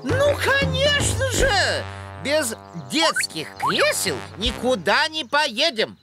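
A woman's voice: high-pitched, huge pitch swings, slow pace, heavily acted. A woman voicing a motherly, instructive female owl in an educational cartoon, lecturing expressively. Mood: brisk. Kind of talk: animated educational cartoon acting. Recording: music behind the voice.

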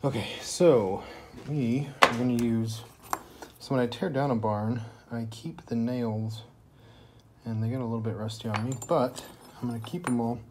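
A man's voice: mid-range voice, moderate pace. A young man filming his woodworking project on a handheld phone in his workshop, talking casually and rambling with lots of fillers. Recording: noisy phone recording in a workshop.

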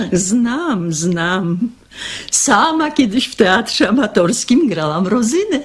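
Slow, husky old grandmother. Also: a little high, big pitch swings, heavily acted. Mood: nostalgic. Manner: drawn-out, hesitant, reminiscing. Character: old grandmother, nostalgic, good-natured. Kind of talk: radio drama acting.

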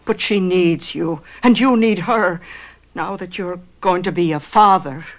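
An actress playing a sensible, no-nonsense housekeeper in a radio drama, brisk, firm and matter-of-fact. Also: female voice, lowish voice, natural voice. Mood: grave. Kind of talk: radio drama acting and narration.

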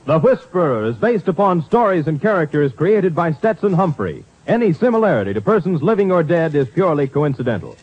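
A man's voice: a little high, sing-song, fairly quick, hardly any pauses. A man announcing scripted credits in the style of 1950s radio. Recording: dull lo-fi old radio recording.

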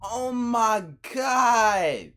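A young man with a husky voice giving a casual, slangy, incredulous reaction commentary in a room at home. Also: extremely high pitch, animated, extremely slow, fairly bright tone. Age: young adult. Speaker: reaction video host. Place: small room at home, indoors.

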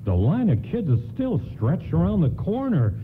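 A man's voice: fairly high pitch, wildly swooping pitch, normal speed. An actor playing a friendly, coaxing department store doorman in an old-time radio play. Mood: evasive. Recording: tinny radio broadcast, faint noise.

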